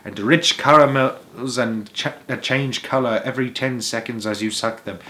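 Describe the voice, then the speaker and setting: A man voicing an old, excitable grandfather who tells tales with dramatic, emphatic delivery in a storybook reading. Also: mid-range voice, lightly acted. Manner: emphatic, dramatic, savouring pauses.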